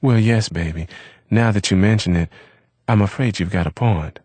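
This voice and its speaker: A man voicing an affectionate American man who teases his companion in an easy, relaxed way. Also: on the low side, moderate pace, husky, no room sound, voice pushed up. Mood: teasing.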